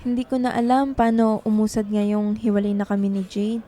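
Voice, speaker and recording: A woman's voice: mid-range voice, flat delivery, normal speed, dark tone, a little hiss or hum, lightly acted. An actress playing a weary, heartbroken young writer and girlfriend in a Tagalog radio drama. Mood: dejected.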